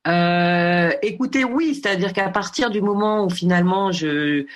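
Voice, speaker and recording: Hesitant woman full of restarts. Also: fairly low pitch. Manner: halting, many fillers and restarts. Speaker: documentary filmmaker interviewed. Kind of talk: radio interview answer.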